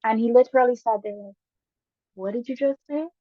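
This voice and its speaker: A woman with a Guyanese accent, a guest casually telling stories with lively bursts and frequent laughs. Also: on the high side, no echo. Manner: lively, laughs often, quick bursts when excited. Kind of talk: casual storytelling chat. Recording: dull lo-fi dry sound.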